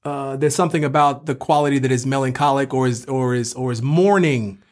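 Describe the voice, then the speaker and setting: A man's voice: mid-range voice, brisk, a little mellow. A man speaking as a professor and co-host, explaining literature in a scholarly way and often posing rhetorical questions.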